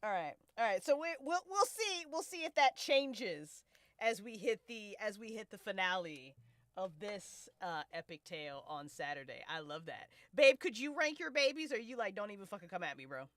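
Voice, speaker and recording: A woman's voice: mid-range voice, sing-song, moderate pace, fairly bright tone, no room sound, studio quality. A woman hosting a casual live chat, talking in an emphatic, slangy, sweary way. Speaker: host. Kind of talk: casual live chat with podcast cast and crew.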